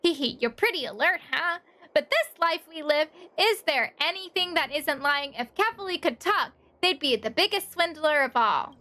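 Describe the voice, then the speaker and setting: A woman's voice: sits high, lively intonation, moderate pace, sharp tone. A woman voicing a cheeky little thief who poses as a frail old man, wheedling and theatrical, in a video game. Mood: sly, teasing.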